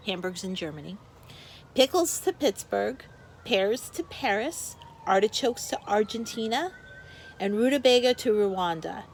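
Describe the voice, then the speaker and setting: A woman's voice: medium pitch, slight background noise, poor audio. A woman teacher reads a picture book aloud to young children, expressively, with explanatory asides to her pupils.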